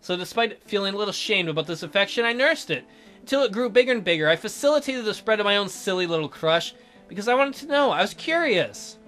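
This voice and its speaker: A man with a bright voice and lively, varied pitch reads visual novel narration aloud. He is a let's play host who drops in casual remarks, with soft music playing behind him. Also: fast.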